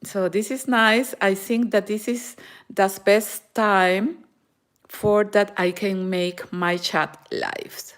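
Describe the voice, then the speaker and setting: Slow-speaking Peruvian woman crocheting. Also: medium pitch, fairly even intonation. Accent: Peruvian Spanish. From Peru. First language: Spanish. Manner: slow, halting English with frequent fillers and switches into Spanish. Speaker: crochet channel host. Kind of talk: live-stream crochet tutorial and chat. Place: home in Germany.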